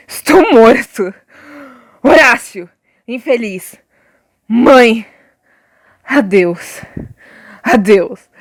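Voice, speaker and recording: A woman's voice: fairly high pitch, dry recording, studio quality. A woman playing an anguished, vengeful young prince in declamatory radio drama style. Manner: declamatory, exclaiming. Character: anguished, furious young prince avenging betrayal, then dying. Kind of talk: melodramatic radio drama acting of a stage tragedy.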